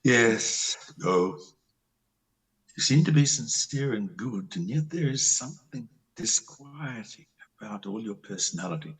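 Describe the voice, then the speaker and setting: A man playing a disillusioned, lovelorn country doctor in an amateur play reading, with a husky voice and a theatrical, halting delivery. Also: fairly low pitch, lively intonation, distant mic, rough recording. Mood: reproachful.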